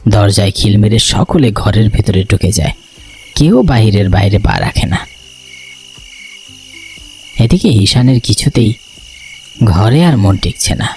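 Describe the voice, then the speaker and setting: A man's voice: on the low side, a little mellow. A man narrating a scripted horror story for a cartoon.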